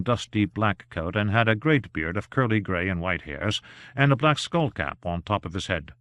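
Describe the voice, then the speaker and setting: A man narrating an unabridged novel aloud in a measured, even storytelling manner, with only light touches of voicing for the characters. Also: lowish voice, no echo. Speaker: audiobook narrator.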